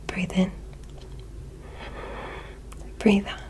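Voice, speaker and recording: A woman playing a gentle, curious alien examiner in a whispered ASMR roleplay, giving soothing, encouraging instructions. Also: drawn-out words. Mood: soft, encouraging. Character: gentle, curious female alien examiner visiting from another planet.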